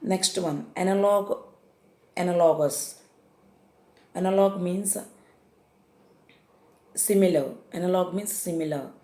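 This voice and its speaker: A woman's voice: lowish voice, warm tone, reverberant. A woman with an Indian English accent teaching confusable English words, repeating each definition and often hesitating with fillers. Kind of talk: vocabulary lesson.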